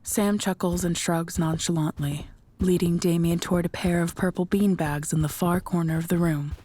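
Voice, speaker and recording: A woman's voice: lowish voice, fairly even intonation, moderate pace, mellow, no room sound. A woman reading scripted narration for an audio drama as its narrator.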